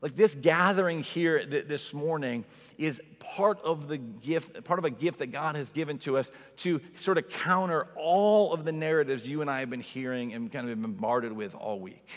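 A man's voice: on the high side, normal speed. A man in his forties preaching a conversational sermon as a pastor, with an informal, emphatic manner and frequent rhetorical asides. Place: large indoor church space with long reverb. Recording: reverberant hall sound.

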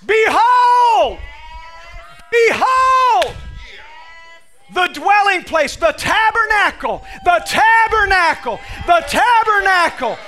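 A male preacher with a very high, bright and forceful voice, worn from losing it. He repeats his phrases with emphasis and reads scripture aloud in a sermon style at a church service. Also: sing-song, slow, a faint crowd. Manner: emphatic, repetitive preaching with call-and-response phrasing.

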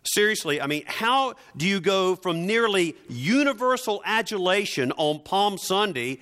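A man's voice: high voice, lively intonation, a little slow, sharp tone, clean tone. A man preaching a sermon in a conversational, wry manner, often posing rhetorical questions.

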